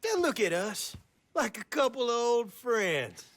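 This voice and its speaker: Swooping, overfriendly backwoods farmer. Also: male voice, extremely high pitch, big pitch swings, a bit bright, full character voice. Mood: jovial, coaxing. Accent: American Southern. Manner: drawn-out, effusive, theatrical, giggly. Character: folksy, overfriendly, creepy backwoods pig farmer man. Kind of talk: video game character acting.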